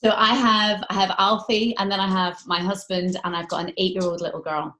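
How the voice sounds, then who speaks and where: A woman's voice: medium pitch, level tone, brisk, sharp tone. A British woman hosting a casual interview, chatty and sympathetic in manner. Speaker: radio interviewer. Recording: echoey room.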